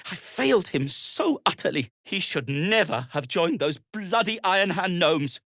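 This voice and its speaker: Anxious gnome inventor, mannerly, hesitant, widely swinging pitch, unhurried, video game character. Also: male voice, animated, vocal fry, a bit bright, dry recording, clean studio sound, exaggerated voice. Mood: grieving, defeated. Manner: hesitant, stammering, politely formal.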